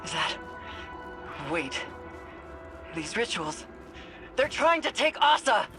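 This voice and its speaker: A woman's voice: fairly high pitch, very animated, slow, exaggerated voice. An actress playing a tough, pained female soldier in a video game, intense and urgent. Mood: tense. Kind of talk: dramatic video game voice acting. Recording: game dialogue under loud music.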